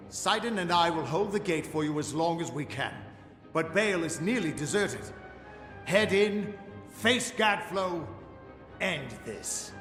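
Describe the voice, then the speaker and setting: Music plays behind a resolute fighting man in a fantasy video game. His voice sits a little high and swings expressively as he gives earnest, commanding speeches. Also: a bit bright, heavily acted. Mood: weary, admiring. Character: battle-weary, resolute male warrior and rebel leader. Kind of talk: dramatic video game dialogue. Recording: music under the dialogue.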